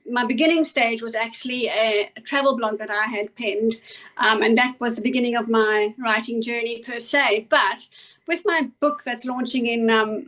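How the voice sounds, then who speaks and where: A middle-aged South African woman answers interview questions over a video call. Her voice sits a touch high, and she speaks thoughtfully, stopping often to gather her words. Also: a bit bright, rough recording. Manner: thoughtful, with frequent ums and pauses. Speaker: guest author. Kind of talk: conversational interview.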